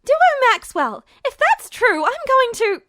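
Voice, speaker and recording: Feisty girl for fan fiction audiobook, woman performing: very high, shrill, anxious, exasperated, sharp-tempered, dry sound. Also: sing-song, slow, no room sound, full character voice. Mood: alarmed, shrieking.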